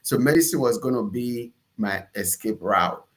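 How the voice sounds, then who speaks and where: A man's voice: medium pitch, animated, moderate pace. Nigerian man telling his story. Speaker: interview guest, entrepreneur and pastor. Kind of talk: conversational personal storytelling.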